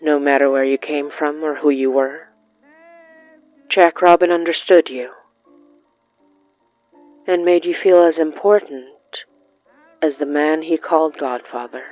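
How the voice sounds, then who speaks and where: A narrator telling a scripted literary horror story in a measured way, with dramatic pauses between short phrases. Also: mid-range voice, dry recording, highs cut off, faint music behind. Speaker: narrator of a horror fiction podcast.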